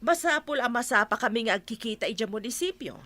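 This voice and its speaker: A woman's voice: on the high side, lively intonation, quick pace, sharp tone. A woman acting as a strict, bossy village aunt and coordinator in a comedy radio drama, with an Ilocano accent. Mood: strict, reminding. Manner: bossy, instructing.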